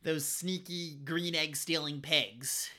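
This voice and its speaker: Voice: medium pitch, fairly even intonation, takes it slowly, pushed and bright. A podcast co-host chatting casually, giving short, eager answers in loose phrasing.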